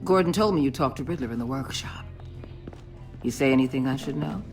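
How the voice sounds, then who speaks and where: A woman's voice: very deep voice, fairly quick, faint music behind. A woman playing a ruthless government agency chief in a video game, with a hard, blunt, authoritative manner. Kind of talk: dramatic video game acting.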